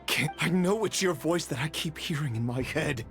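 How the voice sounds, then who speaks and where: A man's voice: a little high, moderate pace, gravelly, faint music behind. A man acting as a troubled, devoted figure in a fantasy video game, speaking with earnest intensity. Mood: anguished, pleading.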